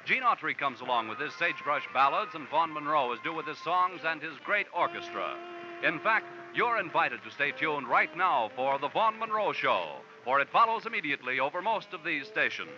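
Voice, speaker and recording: A man's voice: a little high, very animated, normal speed, background noise. An American man working as a radio network announcer, reading scripted credits and promos in a polished 1940s style. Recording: dull vintage 1940s radio recording.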